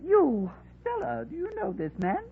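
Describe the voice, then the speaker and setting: A woman's voice: very high voice, wildly swooping pitch, measured pace, full character voice. A woman playing a curt, crabby old granny with a brusque manner, in a 1940s radio drama. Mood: suspicious. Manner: brusque, dismissive. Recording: noisy, muffled old radio recording.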